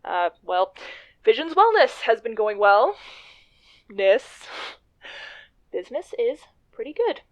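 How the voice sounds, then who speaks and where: A woman acting in a radio play as a self-conscious businesswoman, speaking in a halting, wry, self-interrupting way. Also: fairly high pitch, big pitch swings, lo-fi sound. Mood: uneasy, musing. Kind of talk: radio drama acting, halting confessional monologue.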